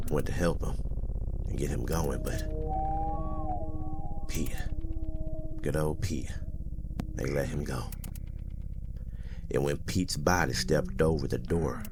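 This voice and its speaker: An actor playing an older small-town mountain man, a haunted but gentlemanly storyteller in an intimate ASMR roleplay, speaking in halting, folksy phrases with a gravelly, husky voice. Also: a bass voice, noisy background, heavily acted.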